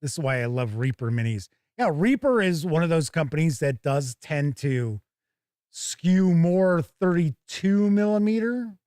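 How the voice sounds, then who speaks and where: A man's voice: fairly high pitch, animated, unhurried, a little mellow, dry recording, studio quality. A man hosting a tabletop gaming show, giving chatty, casual live commentary as he looks over products and talks with viewers.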